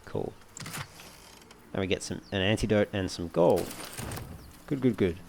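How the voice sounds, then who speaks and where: A man giving low-key, casual gameplay commentary, musing aloud as he plays. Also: lively intonation, unhurried, creaky, darkish tone, a little hiss or hum. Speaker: let's play host.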